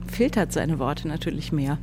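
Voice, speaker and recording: A woman's voice: low voice, lively intonation, moderate pace, over music. A woman giving a considered, somewhat hesitant interview statement about making radio drama.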